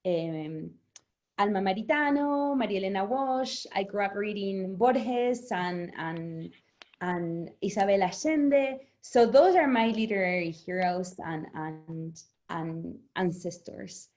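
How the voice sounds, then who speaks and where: Brief: middle-aged Spanish-native woman from Argentina, chatty author guest, animated, quick laughs, mid-pitched voice. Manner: animated, laughs often, restarts words. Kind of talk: conversational interview.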